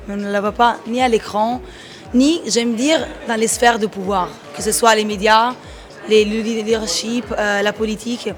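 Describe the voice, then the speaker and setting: Measured woman explaining her work. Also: on the high side. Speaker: interviewee, podcast founder. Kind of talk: interview answers.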